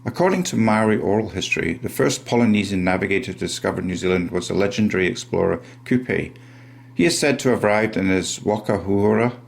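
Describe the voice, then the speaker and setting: A man's voice: low voice, creaky. A man reading a scripted voice-over in a sardonic tone. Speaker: channel narrator.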